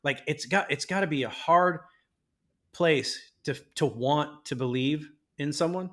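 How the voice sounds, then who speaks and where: A man's voice: a little high, measured pace, a bit bright. A man co-hosting a casual podcast, swearing freely, laughing often and turning earnest when talking about friendship and trust. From Mexican-American. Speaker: podcast co-host. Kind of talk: casual chat.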